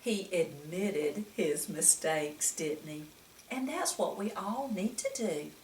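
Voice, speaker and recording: A woman's voice: fairly low pitch, animated, takes it slowly, darkish tone, a little hiss or hum. A woman reading a picture book aloud to young children, expressively, with exclamations and frequent questions to the listener. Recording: echoey room, distant microphone.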